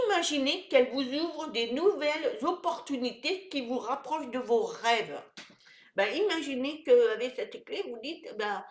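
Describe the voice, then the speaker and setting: A woman's voice: a little high, roomy sound. Nasal, congested French-speaking woman vlogger. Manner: hesitant, many "euh" fillers and restarts. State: congested with a head cold. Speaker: wellness vlogger. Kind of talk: casual talk to camera.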